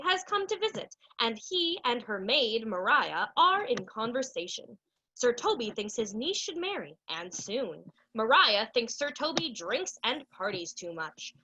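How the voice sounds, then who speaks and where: A woman's voice: on the high side, pushed and bright, muffled recording. A woman narrating a radio play from a script in a storytelling style, with noise behind her. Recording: clear background noise.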